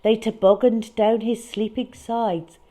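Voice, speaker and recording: A woman reading a picture book aloud as a children's storyteller, with dramatic, deliberate delivery and emphatic stresses. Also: a little high, fairly even intonation, mellow.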